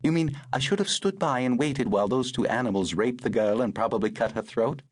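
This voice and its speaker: A male narrator voicing a brisk, wry aristocratic older woman who talks in a clipped, commanding way. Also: medium pitch, breathy edge, no echo, pitched above the performer's own voice, lightly acted.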